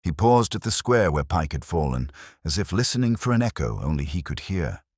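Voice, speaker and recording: A man with an extremely low voice narrates a mystery story as a scripted audiobook. His delivery is measured and literary, with lively swings in pitch. The tone is slightly husky and the sound is dry and close. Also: no room sound.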